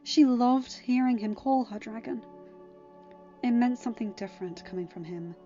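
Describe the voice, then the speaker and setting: High-pitched woman reading a story. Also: normal speed, dark tone. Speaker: podfic narrator. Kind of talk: scripted story narration. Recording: dull lo-fi home recording, music underneath. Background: music.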